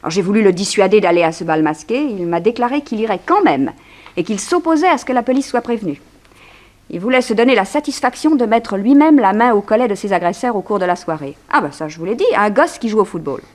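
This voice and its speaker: A charming, curious woman reporter played by an actress from an old French radio serial. Her voice sits at a medium pitch, and she tells things with poise, polish and lively detail. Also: quick pace, close to an everyday voice. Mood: earnest, confiding. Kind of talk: 1960s French radio drama acting.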